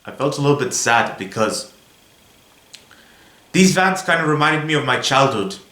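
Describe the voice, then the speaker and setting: A man's voice: mid-range voice, animated, moderate pace, bright, forceful tone, echoey room. A young man from Belgium chatting casually to viewers on his car vlog, rambling a little and often saying "guys". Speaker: car vlogger.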